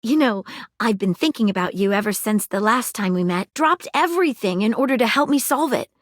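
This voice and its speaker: A woman's voice: mid-range voice, animated, brisk, dry recording, studio quality, close to an everyday voice. A woman voicing an earnest, eager magnifying glass detective in a video game, polite and precise, with an awkwardly romantic streak. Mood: smitten, tender. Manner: precise, polite, earnest, slightly formal phrasing. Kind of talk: video game character voice acting.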